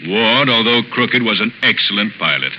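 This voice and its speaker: Guy as assured gentleman detective, lowish voice, animated, old 30s radio drama. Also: normal speed, natural voice. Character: assured, thoughtful gentleman amateur sleuth. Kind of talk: 1930s radio drama acting. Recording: muffled, noisy 1930s radio recording.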